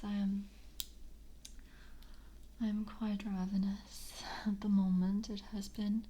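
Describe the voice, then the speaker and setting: A woman playing a composed, seductive vampire coven member in an ASMR roleplay, speaking in a soft, breathy whisper with slow, formal, intimate delivery. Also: mid-range voice, a little hiss or hum, close to an everyday voice.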